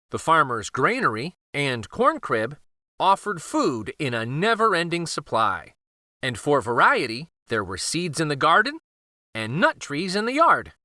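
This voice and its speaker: A man narrating a children's storybook aloud, expressive and careful, with dramatic pauses. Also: on the high side, no echo, clean studio sound.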